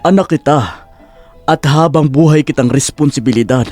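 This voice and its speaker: A man playing a gentle, devoted old father in a Filipino audio drama, speaking in a tender, soothing way. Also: on the high side, normal speed, soft background music, natural voice. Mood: tender, reassuring.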